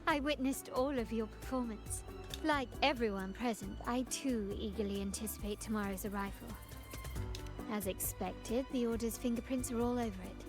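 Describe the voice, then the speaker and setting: A woman's voice: a little high, moderate pace, over music, close to an everyday voice. An actress playing a poised, shrewd lady informant in a video game, composed and formal. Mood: composed, approving. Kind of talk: dramatic video game acting.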